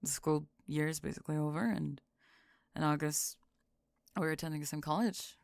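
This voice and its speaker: A woman playing a deadpan, watchful, literal-minded high school girl who speaks tersely in an ASMR roleplay. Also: moderate pace, creaky, no room sound, clean studio sound. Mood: dry, matter-of-fact.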